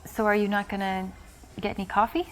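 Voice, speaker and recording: A woman's voice: medium pitch, flat delivery, brisk, slight background noise, natural voice. A woman playing a young woman on a coffee date in a live-action game, giving polite, short answers.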